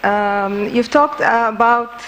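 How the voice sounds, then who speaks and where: A woman's voice: fairly high pitch, slow pace, fairly bright tone, low-quality recording. A woman audience member posing a long, formal question to a panel. She hesitates often and circles back with fillers as she phrases it.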